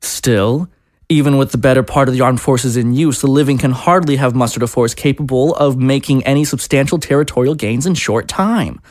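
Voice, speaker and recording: An actor playing a presidential aide who speaks in a polished, rhetorical, conciliatory way. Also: male voice, mid-range voice, brisk, darkish tone, no echo, clean studio sound, close to an everyday voice. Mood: persuasive. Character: smooth persuasive presidential aide, man.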